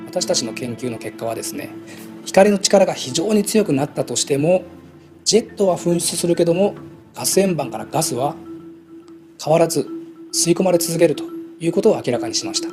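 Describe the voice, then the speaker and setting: A man explains his research in interview style as a scientist. His voice sits a little high and the words come extremely fast, over clearly audible music. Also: lively intonation, darkish tone.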